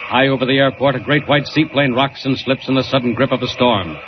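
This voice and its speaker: A man's voice: medium pitch, steady tone, a little fast. A man narrating a 1940s radio serial as announcer, with a dramatic, declamatory delivery. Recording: muffled noisy old radio recording.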